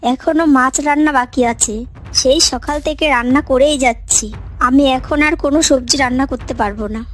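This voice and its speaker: Woman acting high-pitched, spiteful, quarrelsome daughter-in-law. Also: fairly quick, a little hiss or hum, natural voice. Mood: curt. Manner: complaining, snappy. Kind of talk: cartoon moral story voice acting.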